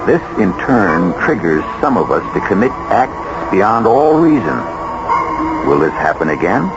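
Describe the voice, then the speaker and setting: Grave, very deep radio suspense host. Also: male voice, big pitch swings, over loud music. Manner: grave, measured, theatrical. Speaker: host and narrator. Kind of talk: scripted suspense radio narration. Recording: muffled old radio broadcast recording.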